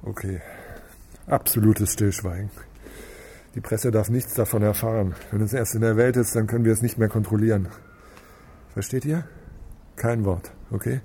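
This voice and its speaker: Guy playing gruff bossy cop, sarcastic, short fuse, radio crime drama, noise around him. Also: on the low side, steady tone, moderate pace, pauses often, mellow, natural voice. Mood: stern. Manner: sarcastic, commanding. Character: gruff, sarcastic police boss, short-tempered and commanding.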